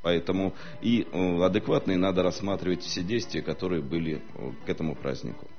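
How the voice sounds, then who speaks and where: A man with a low voice holds forth like a historian on a talk show. He talks in a measured, lecturing way, often pausing to hesitate. The recording sounds muffled and lo-fi, with faint music underneath. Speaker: historian guest. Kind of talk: studio talk-show discussion.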